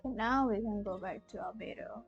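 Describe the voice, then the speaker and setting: A woman's voice: mid-range voice, brisk, gravelly, lo-fi sound. A young woman from Malaysia chatting casually as she streams a game. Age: young adult. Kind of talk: casual live-stream chat while playing. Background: soft game music.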